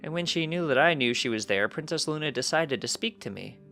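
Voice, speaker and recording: A man plays a precocious little pony boy from a storybook, pitched high. He is cheerful and earnest in a childlike way, with music playing behind him. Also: expressive, brisk, pushed and bright. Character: small precocious winged-unicorn colt, cheerful and earnest.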